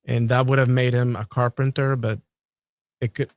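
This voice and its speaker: A man from Colombia who talks in a measured, thoughtful way, with some restarts, in a casual podcast conversation. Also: medium pitch, flat delivery, no room sound. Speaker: podcast host.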